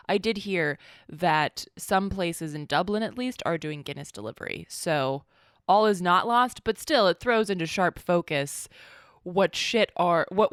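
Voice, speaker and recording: A sniffly woman co-hosting a podcast, talking slowly in a somewhat low voice. She rambles and turns sardonic, swearing now and then, in a dry, close sound. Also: fairly bright tone, dry recording. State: sniffly from allergies. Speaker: podcast co-host. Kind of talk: casual political chat.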